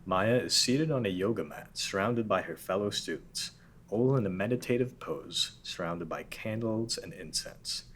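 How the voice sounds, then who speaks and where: Low-voiced man reading scene directions. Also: normal speed, a bit bright. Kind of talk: table read narration.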